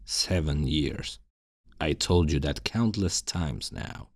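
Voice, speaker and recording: Man voicing gruff bearded swordsman thief, extremely low gravelly voice, blunt, grumbling, short temper, fantasy ASMR roleplay. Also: takes it slowly, no echo, studio quality, full character voice. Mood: irritated.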